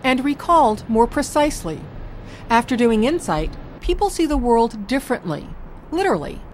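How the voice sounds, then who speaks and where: A woman's voice: a little high, lively intonation, normal speed, a little mellow. Woman narrating a documentary, light hiss.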